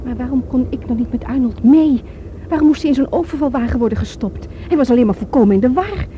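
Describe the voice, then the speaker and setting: A woman's voice: high-pitched, animated, fast. An actress playing a worried, reproachful woman in a radio drama, insistent in manner, on a dull, noisy old recording. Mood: indignant. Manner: indignant, insistent. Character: reproachful, worried woman defending a troubled man.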